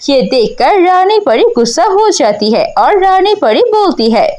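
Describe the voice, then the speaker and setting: A woman reads a scripted story for children. Her voice is very high and her pace is slow, in the manner of a cartoon storyteller. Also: big pitch swings, darkish tone.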